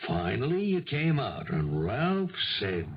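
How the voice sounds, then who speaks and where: Gravelly, streetwise police lieutenant. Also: male voice, medium pitch, wildly swooping pitch, takes it slowly, background noise, exaggerated voice. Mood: patient pressure. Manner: streetwise, insistent, colloquial. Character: world-weary, pressuring older police lieutenant. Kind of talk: 1970s radio mystery acting. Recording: muffled old radio broadcast recording.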